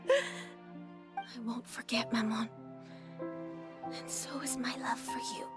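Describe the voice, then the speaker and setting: A woman playing a sleepy, loving young child with a soft, breathy, tender delivery in a video game cutscene. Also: fairly high pitch, steady tone. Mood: tender, fading. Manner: slow, drowsy, tender. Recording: music behind the voice.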